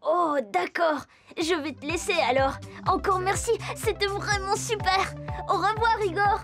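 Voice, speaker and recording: Very high, cheerful little cartoon girl. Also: steady tone, a little slow, a bit bright, heavily acted. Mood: excited, curious. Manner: enthusiastic, wide-eyed, addresses young viewers directly. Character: Jasmin, cheerful curious little girl who befriends animals. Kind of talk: children's cartoon dialogue and narration. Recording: music behind the voice.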